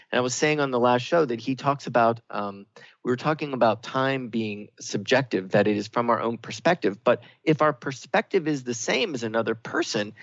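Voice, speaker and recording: A man's voice: mid-range voice, no echo. Man co-hosting radio talk, slightly dull audio. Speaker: radio show co-host. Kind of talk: reflective spiritual talk radio discussion.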